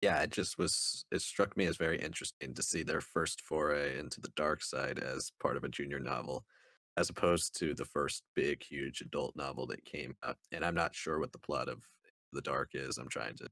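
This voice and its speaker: A man with a very deep voice in casual co-host conversation. The sound is dry and free of room echo, and he talks as a reviewer sharing his take. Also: normal speed, pushed and bright. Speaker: podcast co-host. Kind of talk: casual discussion and book review.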